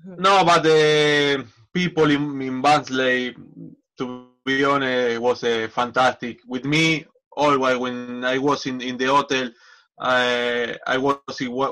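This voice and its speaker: Slow, halting man with a bright voice. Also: mid-range voice, poor audio. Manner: halting non-native English, many restarts. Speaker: guest, football coach. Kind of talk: casual interview.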